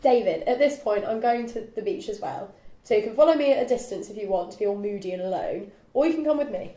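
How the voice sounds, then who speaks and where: A woman's voice: mid-range voice, expressive, quick pace, dark tone, close to an everyday voice. A young woman reading the part of a determined female university student, casual and direct, at a film script table read. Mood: insistent. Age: young adult. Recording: echoey room.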